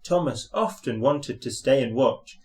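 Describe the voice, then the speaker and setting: A man's voice: mid-range voice, lively intonation, unhurried. A man reading a scripted children's story as narrator.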